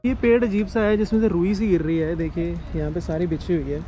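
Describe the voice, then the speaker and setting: A man's voice: high voice, steady tone, quick pace, over music. A man from India giving casual commentary to viewers while he walks outdoors along a canal. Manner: explains things to viewers while walking. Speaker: vlogger. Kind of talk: casual walking-tour commentary.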